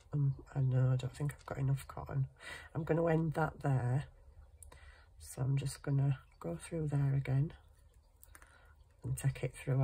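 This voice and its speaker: A woman's voice: sits low, mellow. A middle-aged woman with a congested cold, chatting casually and self-deprecatingly as she does crafts. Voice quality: husky, congested nose. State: bunged up with a cold, sniffing. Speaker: craft channel host. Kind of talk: casual chat while hand sewing. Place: indoors at a cluttered craft table.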